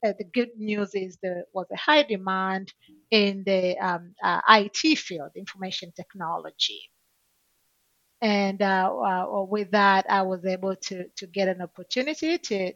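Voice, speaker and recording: A middle-aged woman from Tanzania, a podcast guest telling her story in a halting way with many fillers and restarts. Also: mid-range voice, a bit bright, dry recording, dull audio. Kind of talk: conversational interview answer.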